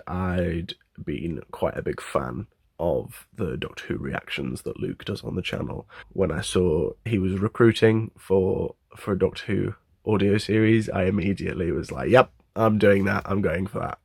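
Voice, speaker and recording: A man with a gravelly, husky voice giving a casual interview as an audio drama cast member. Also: sits low, a little slow, no room sound, clean studio sound. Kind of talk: casual interview talk to camera.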